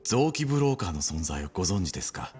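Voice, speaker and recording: Grave surgeon, deep voice, formal, measured, calculating, faint music, game cutscene. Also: male voice, big pitch swings. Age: middle-aged. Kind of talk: dramatic video game cutscene acting.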